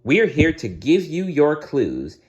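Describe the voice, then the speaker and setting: Man as a giddy, high-pitched little fairy. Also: takes it slowly, exaggerated voice. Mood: excited. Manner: bubbly, excitable. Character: giddy, eager little female good fairy.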